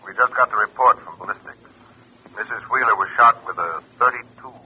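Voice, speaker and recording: An actor playing a stern, businesslike police lieutenant, raspy and clipped, through a telephone filter in a 1940s radio drama. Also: male voice, on the low side, a little fast, full character voice. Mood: official, warning. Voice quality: raspy, sharp. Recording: dull vintage radio, phone voice.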